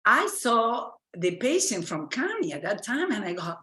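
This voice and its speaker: A woman from Argentina speaking English with an Argentine Spanish accent, a physician giving an earnest lecture and often pausing to search for words and restart her sentences. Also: a little high, very animated, bright, forceful tone, echoey room. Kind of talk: lecture presentation.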